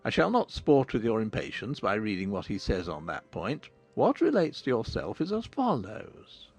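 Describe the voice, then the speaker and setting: A sardonic older father played by a man in an audiobook drama, swooping up and down with theatrical emphasis. His husky, gravelly voice teases and mocks with open amusement, over music. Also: fairly low pitch, huge pitch swings, moderate pace, a little mellow, pitched below the performer's own voice, full character voice. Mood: teasing. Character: witty, teasing older father, mocking and amused.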